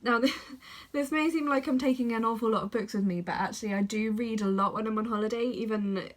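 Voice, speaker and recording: A woman's voice: fairly high pitch, brisk. A young woman with a congested, snuffly voice from a head cold, chatting casually to camera about the books she plans to read and often stopping to correct herself. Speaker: book vlogger.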